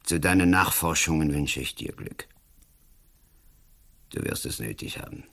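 A blustering national politician in a radio drama, with an extremely low voice. He speaks slowly and pompously, patronizing his listener with emphatic phrases full of gravel. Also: lively intonation, pushed and bright, full character voice. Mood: domineering, pompous. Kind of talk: German radio play acting.

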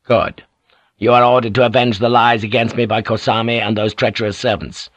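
A man's voice: mid-range voice, level tone, brisk, no echo, muffled recording, lightly acted. A man voicing a fierce, scheming older samurai lord, proud and commanding, in an audiobook performance. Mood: stern, urgent. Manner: imperious, emphatic, giving orders. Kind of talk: audiobook character acting.

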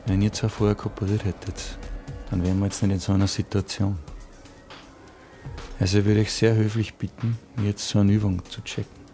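Gruff, low-voiced Viennese gunman, played by a man. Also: level tone, fairly quick, dark tone, soft background music, natural voice. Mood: menacing, sarcastic. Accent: Viennese Austrian. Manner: threatening, gruff, sarcastically polite, coarse. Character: agitated working-class male gunman hijacking a radio station. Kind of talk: radio drama acting.

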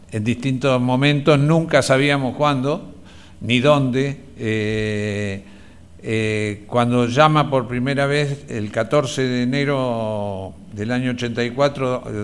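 A middle-aged man with an Argentine accent recalls events in a halting way, repeating phrases. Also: medium pitch. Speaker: interviewee, relative of a disappeared woman. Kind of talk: spontaneous recollection. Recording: music bed under interview. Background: music.

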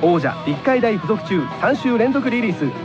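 A man delivers an energetic scripted commercial in a high, very animated voice. Loud music plays behind him, and the sound is slightly dull, like a band-limited radio broadcast. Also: normal speed. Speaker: commercial announcer.

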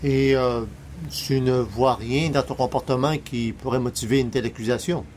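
A man's voice: mid-range voice, steady tone, normal speed, a little mellow, slight background noise, natural voice. A man acting as a casual, matter-of-fact party guest in a radio comedy, speaking in a hesitant, conversational way. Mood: curious.

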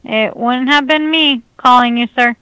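A woman's voice: high-pitched, a little slow, bright, forceful tone, no room sound. A woman answering calls for a tow company, polite and patient.

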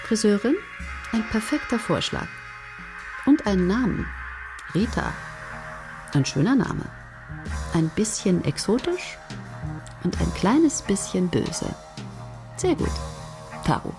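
A confident head writer runs the meeting and talks down to her team. Her pitch swoops lively in a brisk, persuasive delivery. Clear music sits behind the radio play. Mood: bossy. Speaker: head of a soap writing team.

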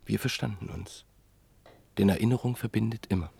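An actor playing a reflective writer who narrates in a measured, literary way in a radio play. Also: male voice, lowish voice, vocal fry, dark tone, slightly rough, no room sound, exaggerated voice. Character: reflective male writer and book collector, narrator.

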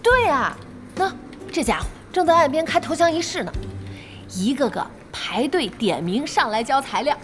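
An actress playing a lively, fiery young female internet cafe boss in a Chinese audio drama, speaking in exclamations. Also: sits high, normal speed. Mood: amused. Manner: exclamatory, expressive. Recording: music behind the voice.

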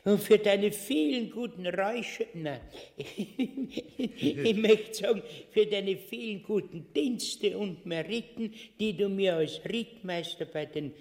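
Slow, doddering king in echoing reverb. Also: high-pitched, darkish tone. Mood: benevolent, doddering. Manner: hesitant, repeating words, stately. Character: doddering, benevolent, tipsy foolish male king. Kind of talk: farcical radio play acting. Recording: long echoing hall reverb.

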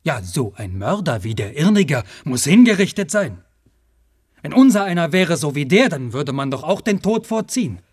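An actor in a radio play voicing an eager working-class man who justifies himself in halting, rambling colloquial speech. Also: on the high side, sing-song, darkish tone, slightly rough, dry recording, clean studio sound. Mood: grimly fervent. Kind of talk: radio play monologue and dialogue.

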